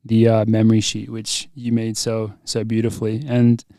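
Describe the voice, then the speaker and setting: A man's voice: lowish voice, completely flat delivery, moderate pace, mellow, dry recording, clean studio sound. A young man co-hosting a podcast, steering the talk in a casual, rambling way and laughing often. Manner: laughing, leading the segment, rambling. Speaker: podcast co-host.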